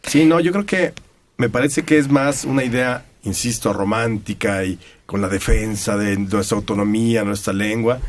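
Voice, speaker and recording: A Mexican man talking on a radio panel, hesitant and filling pauses with fillers. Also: fairly low pitch. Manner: hesitant, fills pauses with "eh" and "o sea".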